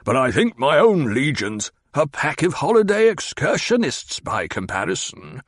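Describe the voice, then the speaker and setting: A man voicing a cold, sardonic old advisor who speaks in clipped, cutting sentences, pitched higher than the narration. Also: lively intonation, normal speed, gravelly, slightly rough, dry recording, clean studio sound, full character voice. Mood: scornful. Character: cold, scornful old male mentat advisor.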